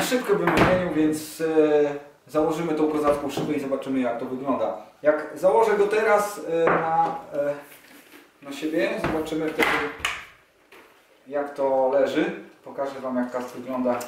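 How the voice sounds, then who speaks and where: A man in his forties chats excitedly to camera while trying on motorcycle gear. He sits at a table in a small room, and the distant camera mic makes him sound roomy and echoey. Clicks and handling noise carry clearly behind him. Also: a little high. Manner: chatty, enthusiastic, thinking aloud while handling gear. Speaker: motorcycle vlogger. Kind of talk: casual unboxing vlog.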